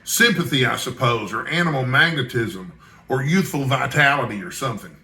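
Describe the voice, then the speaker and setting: A man's voice: medium pitch, normal speed, pushed and bright, echoey room. A man voicing a kindly, shrewd older Scottish news editor from a storybook, with a wry, expansive manner. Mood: encouraging.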